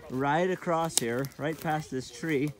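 A man's voice: on the high side, takes it slowly. Amazed man vlogging outdoors, noisy audio. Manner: amazed, exclaiming. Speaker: narrator of a family camping trip. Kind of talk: casual outdoor vlog narration. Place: outdoors on a forested mountain.

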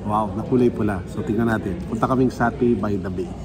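A man's voice: on the low side, fast. Filipino guy doing a casual travel vlog, playful, handheld mic with street and car noise behind. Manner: playful, informal. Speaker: travel vlogger. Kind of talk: casual vlog chat mixing Tagalog and English. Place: on the go: hotel room, malls, streets, restaurants. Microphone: handheld camera or phone at arm's length.

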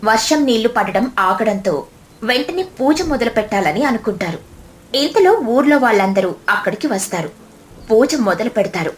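A woman's voice: on the high side, lively intonation, brisk, a little hiss or hum. A woman storyteller reading a scripted children's story narration. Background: music.

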